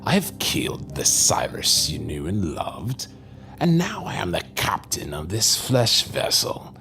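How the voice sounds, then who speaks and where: A man's voice: deep voice, wildly swooping pitch, measured pace, gravelly, bright, forceful tone, full character voice. An actor playing a menacing, sardonic demon in a possessed man's body in an audio horror drama. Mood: gloating. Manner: sardonic, taunting, theatrical. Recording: music under the voice.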